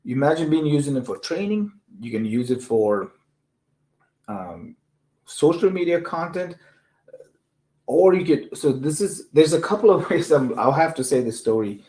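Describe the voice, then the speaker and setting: A man's voice: on the high side, very animated, moderate pace, dark tone. Man hosting a casual tech talk. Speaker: host of a tech discussion show. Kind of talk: casual discussion of technology and business use cases.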